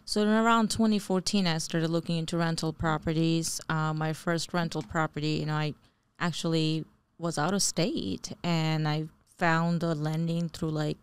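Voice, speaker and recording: A woman's voice: sits low, flat delivery, moderate pace, hardly any pauses, clear, resonant tone, dry recording. A woman in her forties, from Bangladesh and raised in New Jersey, chatting as an interview guest, rambling and laughing often. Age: 40s. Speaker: real estate investor guest. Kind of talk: casual interview chat.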